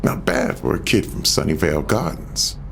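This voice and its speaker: An actor playing a shrewd American former gang boss, self-assured and streetwise, reminiscing in a scripted game audio log. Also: male voice, low-pitched, big pitch swings, moderate pace, gravelly, fairly bright tone, slight background noise, full character voice. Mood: wry, reflective. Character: shrewd, patient male ex-gang boss turned author.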